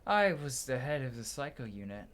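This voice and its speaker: A man's voice: medium pitch, sing-song, normal speed, a bit bright. A man doing a playthrough, reading game dialogue aloud dramatically and stumbling now and then before restarting lines. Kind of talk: gameplay commentary with dramatic read-aloud dialogue.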